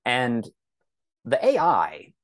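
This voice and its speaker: A man's voice: medium pitch, wildly swooping pitch, extremely slow, no room sound, studio quality. A man talking casually as a podcast guest, explaining things through examples and rambling asides. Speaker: podcast guest, science fiction author.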